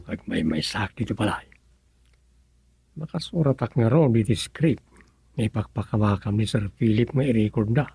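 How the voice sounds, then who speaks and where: An actor playing an easygoing village husband in an Ilocano comedy radio drama, with an Ilocano Filipino accent and muttered, hesitant interjections. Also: male voice, fairly low pitch, animated, moderate pace, vocal fry, long pauses, warm tone, slightly rough, full character voice. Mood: grumbling. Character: easygoing comic village husband and aspiring scriptwriter.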